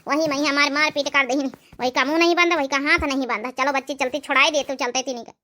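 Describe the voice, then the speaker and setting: An aggrieved old village mother-in-law from a cartoon comedy played by a woman, with an Awadhi accent. The voice is very high and sharp, and it races through one complaint after another. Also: fairly even intonation, fast talker. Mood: complaining. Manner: complaining, rattles off grievances. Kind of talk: animated family comedy dialogue.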